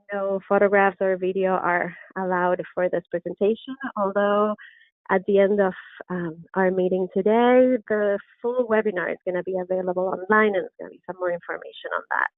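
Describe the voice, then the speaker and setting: A middle-aged woman with an Argentine Spanish accent presents an online lecture, earnest and enthusiastic, pausing on ums and restarting phrases. Her voice sits at an ordinary pitch. The sound is dry and narrow, like a phone line. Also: no echo. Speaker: orthodontist lecturer. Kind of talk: webinar presentation. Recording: dry, tinny phone-like webinar audio.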